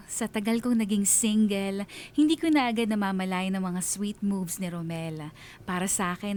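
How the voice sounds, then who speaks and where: A woman's voice: mid-range voice, natural voice. An actress playing a wistful woman in her late twenties with a Filipino accent, narrating her love story in a radio drama. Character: wistful twenty-nine-year-old female bank manager recounting lost love.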